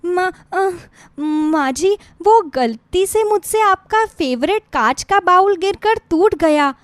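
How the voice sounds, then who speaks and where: A woman's voice: very high voice, lively intonation, slow pace, no room sound, voice pushed up, exaggerated voice. A woman voicing an anxious young bride with a pleading, nervous, apologetic manner in a moral story. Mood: frightened. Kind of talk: Hindi moral story acting.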